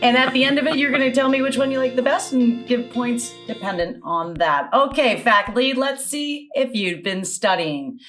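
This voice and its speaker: Playful woman with a higher-pitched voice. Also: expressive, normal speed, few pauses, a bit bright, faint music behind. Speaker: panelist leading a word quiz. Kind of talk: casual comedic game chat. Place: room at home, video call.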